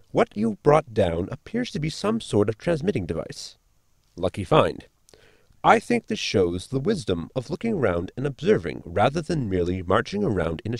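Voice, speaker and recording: A man playing a pedantic, eccentric science officer, formal and polite, with a creaky voice, in a sci-fi comedy audio drama. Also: fairly low pitch, dry recording, clean studio sound, full character voice.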